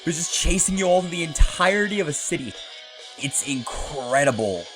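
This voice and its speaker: A man's voice: sits high, fast. A man giving casual, rambling, enthusiastic commentary while playing a video game. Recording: music playing behind the voice. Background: video game music.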